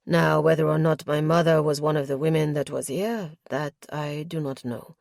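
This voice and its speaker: A woman's voice: deep voice, steady tone, moderate pace, gravelly, darkish tone, dry recording, clean studio sound, pitched below the performer's own voice. A woman voicing a reserved young nobleman who speaks in a clipped, formal, guarded way. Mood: grave. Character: grave reserved nobleman, young adult man.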